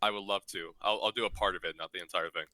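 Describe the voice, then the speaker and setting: A man's voice: low voice, steady tone, quick pace, bright, forceful tone, no echo. A man chatting casually and jokingly as a voice actor on a panel.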